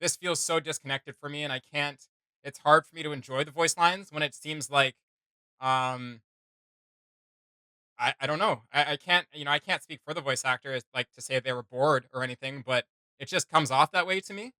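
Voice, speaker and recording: A man with a bright, forward voice, pitched a little high, hosts a reaction video. He talks casually and hesitantly, thinking aloud in a dry, close sound. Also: normal speed, smooth, no echo. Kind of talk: casual spoken commentary.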